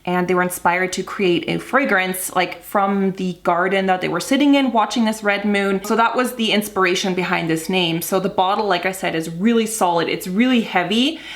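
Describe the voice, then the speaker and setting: A woman's voice: medium pitch, moderate pace, few pauses. A young adult woman chatting casually at home as she reviews a perfume, easygoing and full of fillers. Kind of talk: casual product review vlog. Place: home room with wood floor.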